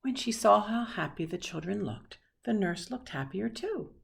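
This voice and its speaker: A woman reading a children's picture book aloud expressively, with dramatic pauses and hushed asides. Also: medium pitch, animated, creaky, a little hiss or hum. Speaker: storybook reader.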